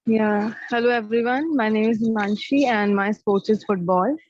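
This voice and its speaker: A woman's voice: fairly high pitch, moderate pace, a little mellow, no room sound. Young Indian woman, dull call audio. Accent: Indian English. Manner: simple, plain sentences. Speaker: participant, footballer.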